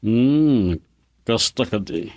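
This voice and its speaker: A man's voice: medium pitch, expressive, extremely slow, darkish tone, dry recording, clean studio sound. An actor playing a stern, scheming father in an Ilocano radio drama, speaking with a Filipino Ilocano accent. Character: scheming, stern father plotting against son-in-law.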